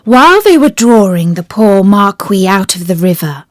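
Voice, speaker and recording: A woman reading a children's fairy tale as a storyteller, with deliberate, expressive phrasing. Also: medium pitch, no echo, studio quality. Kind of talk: scripted children's storybook narration.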